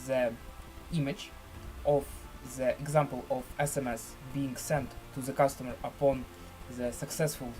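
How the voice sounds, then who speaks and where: A man presenting a screen-share software tutorial in halting, deliberate phrases with formal, elaborate wording. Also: medium pitch, little pitch movement, faint music behind, poor audio.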